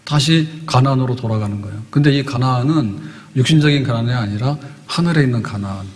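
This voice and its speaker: Man in his sixties, pastor, sermon delivery, explanatory storytelling, rhetorical questions, medium pitch, long church-hall reverb. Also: fast. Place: church hall, indoors at a pulpit. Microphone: podium microphone.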